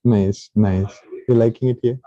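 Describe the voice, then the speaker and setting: Low-pitched, mellow Indian man chatting. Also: steady tone, a little slow, dry recording, muffled recording. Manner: brief repeated acknowledgements. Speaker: host and speaker at a tech meetup. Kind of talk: casual chat.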